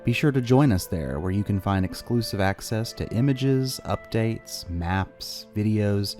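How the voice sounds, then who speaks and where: Guy host doing scripted podcast sign-off, low n really mellow, bit of music. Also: expressive, measured pace.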